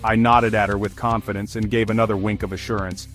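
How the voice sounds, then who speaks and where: A man's voice: on the low side, level tone, quick pace, fairly bright tone. A man narrating a first-person comedic fantasy story as a scripted audio series. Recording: music behind the voice.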